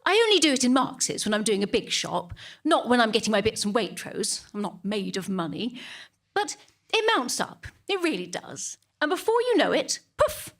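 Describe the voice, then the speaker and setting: A woman's voice: on the high side, sing-song, normal speed. A woman playing a smug, chatty middle-class English churchgoing mother in a comic monologue, prim and gossipy, with an English accent. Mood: self-satisfied. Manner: prim, gossipy, self-justifying asides.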